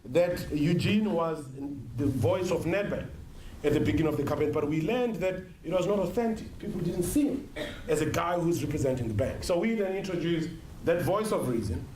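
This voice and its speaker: A South African man giving a business conference presentation in a measured, emphatic way, pausing between short phrases. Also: on the high side, expressive. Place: conference room with an audience. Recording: roomy, echoing sound with faint noise.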